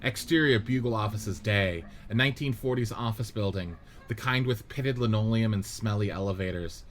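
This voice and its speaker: A man with a fairly low voice reads out stage directions in a steady, matter-of-fact tone. The pace is even and unhurried, in the manner of a radio-play narrator. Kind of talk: script reading narration.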